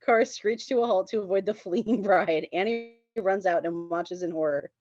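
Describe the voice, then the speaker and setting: A woman's voice: mid-range voice, moderate pace, darkish tone, highs cut off, faint music behind. A woman reading screenplay stage directions aloud at a table read, in a giggly way. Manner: giggly, often breaking into laughter.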